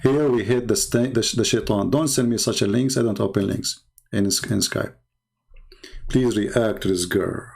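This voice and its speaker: A man's voice: on the low side, normal speed, creaky, darkish tone, husky. A man from the Middle East, a native Arabic speaker with an Arabic accent, hosting a casual live stream in a sarcastic, mocking way. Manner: sarcastic, mocking, repeats phrases for emphasis. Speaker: live show host and religious apologist.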